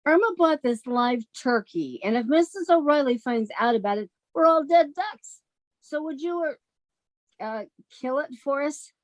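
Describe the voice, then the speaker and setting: A woman's voice: high voice, animated, normal speed. A woman playing a sensible, wisecracking, exasperated young roommate in a 1940s-style radio comedy. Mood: exasperated, wry.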